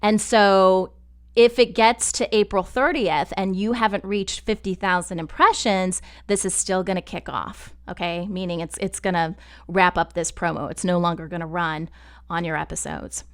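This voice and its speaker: A woman giving a conversational, step-by-step software tutorial in an explanatory style. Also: on the low side, steady tone, moderate pace, clean tone. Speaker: podcast network instructor.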